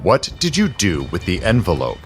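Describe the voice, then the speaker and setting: A man's voice: deep voice, huge pitch swings, moderate pace, over loud music. A man playing a hard, distrustful and threatening character in a period audio drama, intense and accusing.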